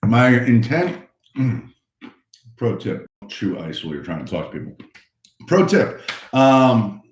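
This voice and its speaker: Low-voiced male host, hoarse, energetic motivational delivery, recorded in a reverberant home office. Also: slow. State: hoarse, voice a bit shot. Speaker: real estate agent hosting a live cold-calling stream. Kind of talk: casual pep-talk livestream chat.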